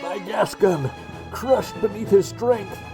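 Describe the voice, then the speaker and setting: A man's voice: sits high, slow, over loud music. A man playing a battered, injured superhero in a radio drama, pained and melodramatic. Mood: agonised.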